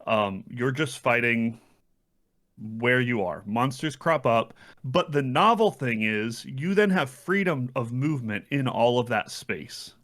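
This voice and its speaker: A man with a bright, crisp-edged voice co-hosts a casual game review podcast. His pitch is average, and he explains in a conversational way, qualifying his points as he goes. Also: lively intonation, a little slow. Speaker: podcast co-host.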